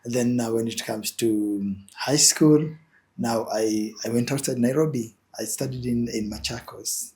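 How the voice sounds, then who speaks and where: A man's voice: medium pitch, a little slow, a little mellow. A young Kenyan man with a Kenyan accent, answering interview questions as an image consultant, with many fillers and repeated words.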